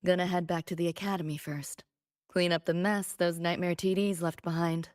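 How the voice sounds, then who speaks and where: A woman's voice: lowish voice, steady tone, normal speed, darkish tone, close to an everyday voice. A woman playing a cool, wry young mercenary in a video game, guarded but caring, with clipped, slangy lines. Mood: resolute. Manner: laconic, clipped slangy delivery. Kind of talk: video game story dialogue.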